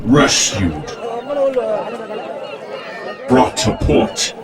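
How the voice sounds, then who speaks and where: A man's voice: fairly low pitch, wildly swooping pitch, drawn-out words, a loud crowd, exaggerated voice. A man playing a grandiose, pompous older professor turned cosmic villain in an audio drama, speaking with theatrical deliberation. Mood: grandiose, brooding. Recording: roomy, distant echo under loud music.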